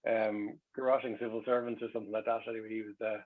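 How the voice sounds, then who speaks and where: A man's voice: fairly low pitch, little pitch movement, fast, narrow, tinny audio. A middle-aged man with an Irish accent telling anecdotes in a casual, conversational way. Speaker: guest.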